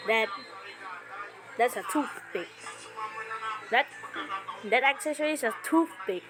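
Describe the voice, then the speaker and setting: Scornful high-voiced woman over music. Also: lively intonation, takes it slowly. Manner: scornful, slangy, repeats phrases for emphasis. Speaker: YouTuber making a reaction video. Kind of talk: casual mocking commentary. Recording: loud music behind the voice.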